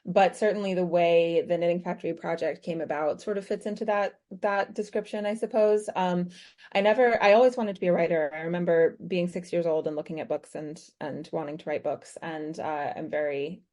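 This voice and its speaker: Soft-toned American woman, podcast guest. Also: lowish voice, fairly even intonation, a little fast. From United States. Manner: thoughtful, many ums and restarts. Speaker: guest author. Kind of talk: podcast interview, conversational.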